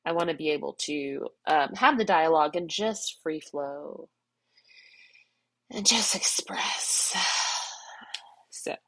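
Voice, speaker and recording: A middle-aged woman speaks in a lowish voice, rambling and searching as she reflects aloud. She sounds congested from crying and keeps circling back to her point. The sound is dry and close, with no room echo. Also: expressive, takes it slowly, long pauses, fairly bright tone. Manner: rambling, tearful, self-reflective. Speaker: vlogger. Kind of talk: emotional personal vlog, external processing.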